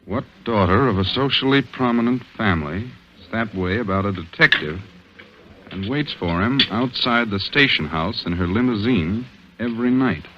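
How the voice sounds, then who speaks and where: An actor playing a wry police detective in a 1940s radio drama. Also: male voice, fairly low pitch. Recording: hissy, dull vintage radio recording.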